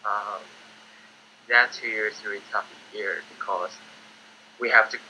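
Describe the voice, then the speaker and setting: Teen boy, hesitant halting English. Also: on the low side, fairly even intonation, pushed and bright, slight background noise, poor audio. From China. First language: Chinese. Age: teens. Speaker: student applicant. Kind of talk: interview answers.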